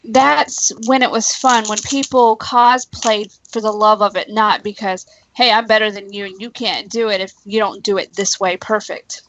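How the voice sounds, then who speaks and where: A woman's voice: mid-range voice, fairly even intonation, moderate pace, few pauses, a bit bright, slight background noise, rough recording. A woman in casual conversation on a talk show, giving her opinions in an informal, emphatic way.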